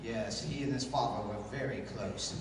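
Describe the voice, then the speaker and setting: A man's voice: medium pitch, moderate pace, noisy background, pitched below the performer's own voice, lightly acted. A man playing a debonair, formal lawyer adventurer in a 1930s-style radio serial, speaking precisely. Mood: grave, concerned. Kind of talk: 1930s radio drama acting. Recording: echoey hall, distant mic.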